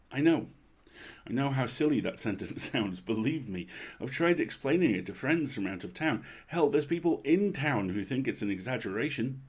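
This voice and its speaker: A man reading a bedtime creepypasta as a weary, uneasy townsperson, measured and confiding. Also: lowish voice, vocal fry. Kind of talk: dramatic bedtime story reading.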